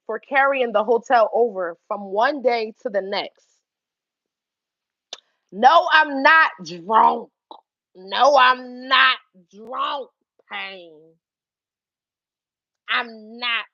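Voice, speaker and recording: A young woman with a Philadelphia accent telling a story on her livestream, animated and swearing freely between laughs. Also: on the high side, takes it slowly, pauses often, dry recording, dull audio. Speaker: YouTube livestream host. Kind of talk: casual livestream storytelling to chat.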